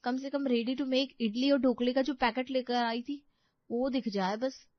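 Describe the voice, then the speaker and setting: A woman's voice: sits high, fairly even intonation, measured pace, dry recording, lo-fi sound. A woman voicing a flustered, chatty young daughter-in-law who runs the kitchen in a family story. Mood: flustered, grumbling.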